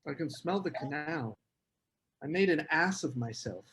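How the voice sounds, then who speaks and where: A man reads the part of a prickly American scholar with a snobbish streak. His voice sits a little high, and he talks in a dry, sardonic, slightly awkward way, as at a table read of a script. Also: animated, a little slow, a bit bright. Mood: sheepish.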